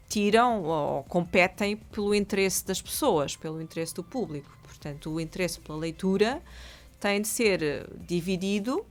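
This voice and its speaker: A middle-aged woman with a European Portuguese accent and a slightly low voice. Portuguese is not her first language, and her wording stays careful and literary. She speaks as a thoughtful author answering questions in a radio studio. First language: another language. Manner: articulate, literary phrasing with frequent laughs. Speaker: author and guest. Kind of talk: radio interview conversation.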